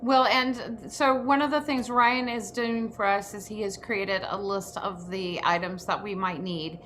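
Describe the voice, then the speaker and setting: A woman's voice: medium pitch, fairly even intonation, unhurried, fairly bright tone, slight background noise. A woman hosting an informal online workshop, speaking in an emphatic, enthusiastic way. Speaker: host of an actors' workshop.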